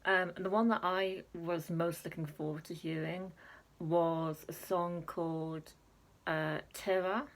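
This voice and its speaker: A woman's voice: on the low side, little pitch movement, unhurried, rough recording. Chatty woman vlogging. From Wales. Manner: chatty, many ums, laughs at herself. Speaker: Eurovision reaction vlogger. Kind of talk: casual chat to camera.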